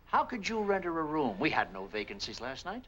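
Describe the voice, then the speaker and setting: An actor playing a firm, authoritative hotel boss in a 1940s film noir with a noisy old soundtrack. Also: male voice, fairly high pitch, very animated, brisk, a bit bright. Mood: firm, brisk. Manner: firm, commanding.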